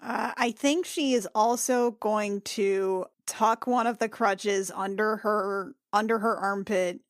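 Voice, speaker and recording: A woman's voice: mid-range voice, steady tone, slow, no room sound, clean studio sound. A woman playing in a tabletop roleplaying podcast, improvising casually in and out of character. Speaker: player in a tabletop game podcast.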